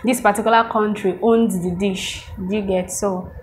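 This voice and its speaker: A woman's voice: mid-range voice, steady tone, normal speed. Chatty woman hosting a cooking vlog. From Nigeria. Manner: chatty, instructive, says "um" often. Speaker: cooking channel host. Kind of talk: casual cooking vlog and food review. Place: home dining room, seated at a table. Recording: noisy home recording.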